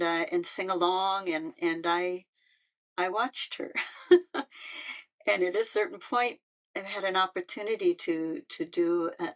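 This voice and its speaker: Older woman, low gravelly voice, heartfelt and halting, personal reflection. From United States. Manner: halting and heartfelt, with frequent restarts. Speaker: musician and peace activist.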